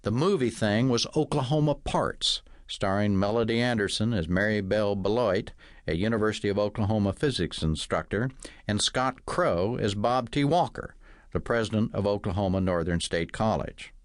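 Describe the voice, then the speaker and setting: A man reading a first-person comic novel as audiobook narration, in a measured, dryly humorous storytelling manner. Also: fairly low pitch, expressive, dry recording, studio quality.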